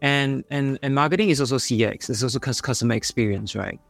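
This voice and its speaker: A man's voice: mid-range voice, fast talker, vocal fry, fairly bright tone, soft background music. A man with a Singaporean accent, speaking as a panelist in a panel discussion and often repeating words as he starts his sentences. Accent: Singaporean English.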